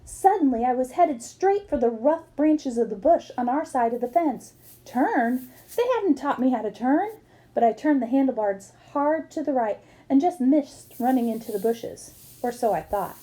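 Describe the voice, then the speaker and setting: A woman's voice: sits high, lively intonation, normal speed, mellow. A woman reading a book aloud expressively, as for children, acting up the dialogue. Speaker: book reader.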